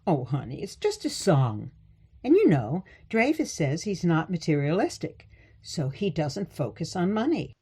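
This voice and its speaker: A woman's voice: lowish voice, very animated, measured pace, dark tone, slightly rough, full character voice. A woman playing a sweet, doting elderly grandmother in a radio play, with a gushing, soothing manner.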